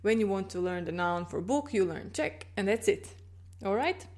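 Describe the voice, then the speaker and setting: A woman's voice: medium pitch, animated, normal speed, darkish tone. Woman teaching grammar patiently. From Croatia. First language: Croatian. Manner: patient, checks in with "okay?". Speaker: language teacher. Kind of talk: explaining grammar in a video lesson.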